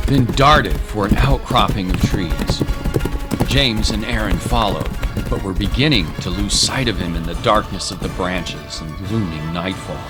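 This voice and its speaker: A man's voice: low-pitched, unhurried. A man giving dramatic scripted narration of a story. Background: music.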